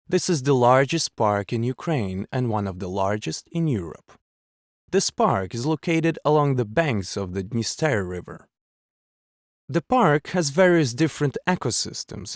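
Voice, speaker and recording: Slow, lively-pitched male narrator. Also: dry recording, studio quality. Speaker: voice actor. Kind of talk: scripted demo narration.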